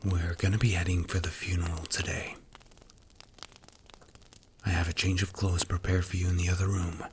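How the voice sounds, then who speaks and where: A man acting as a strict mafia boss with a low, soft, near-whispered voice. He is blunt, measured and commanding, in the close style of an ASMR roleplay. A faint hiss sits behind the words. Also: level tone, close to an everyday voice. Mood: somber, serious.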